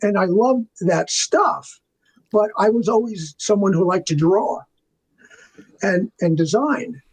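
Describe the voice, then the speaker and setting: A man's voice: sits high, slow, darkish tone, breathy edge. An older man chatting casually as an interview guest, telling rambling, enthusiastic stories about his working life. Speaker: interview guest, film makeup artist and art department veteran.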